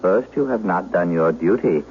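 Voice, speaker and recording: An actor playing a stern, scolding old shepherd in an old-time radio drama. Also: male voice, sits low, animated, moderate pace. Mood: scolding, agitated. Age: older. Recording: muffled, noisy old radio recording.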